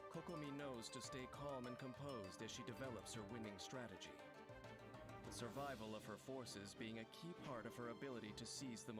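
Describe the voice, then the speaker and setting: Male trailer narrator, medium pitch, measured and formal storytelling under prominent loud music.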